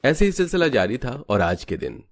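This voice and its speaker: A man's voice: mid-range voice, big pitch swings, moderate pace, slight background noise. A man reading scripted narration for a story.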